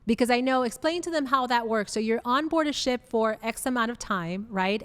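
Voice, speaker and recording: Upbeat woman host, smooth voice. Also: fairly high pitch, long reverb tail. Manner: upbeat, effusive. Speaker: podcast host. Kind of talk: enthusiastic interview hosting.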